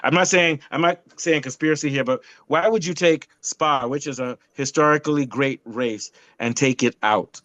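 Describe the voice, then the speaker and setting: A man sounds off on a casual motorsport podcast. He is blunt and animated, often restarting his sentences mid-rant. His voice is dry and close, with no room sound. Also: a little high, moderate pace, hardly any pauses, dry recording. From not European. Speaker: podcast co-host. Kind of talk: casual opinionated chat.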